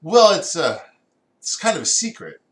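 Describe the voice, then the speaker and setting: A man's voice: on the high side, very animated, normal speed, fairly bright tone, pitched above the performer's own voice. A man voicing a cocky but awkward young hedgehog hero, friendly and casual, in an amateur fan fiction reading. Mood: evasive, flustered.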